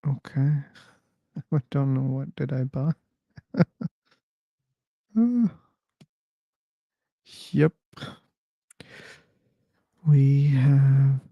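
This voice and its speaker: A man's voice: mid-range voice, dry recording, dull audio. A man hosting a casual live-stream discussion on a video call, chatting idly and repeating words while he waits. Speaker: host. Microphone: computer mic on a video call.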